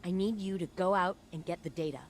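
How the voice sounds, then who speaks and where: A woman's voice: lowish voice, fairly even intonation, fast, a little hiss or hum, natural voice. A woman playing an earnest, driven scientist ally in a video game, explaining things in a conversational, urgent way. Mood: hopeful, eager.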